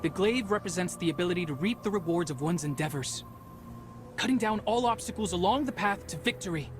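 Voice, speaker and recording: An actor playing a stern, skeptical teenage Spartan brother in a video game, blunt and serious. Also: male voice, high-pitched, expressive, brisk. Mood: earnest, formal. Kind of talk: cinematic video game acting. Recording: music behind the voice.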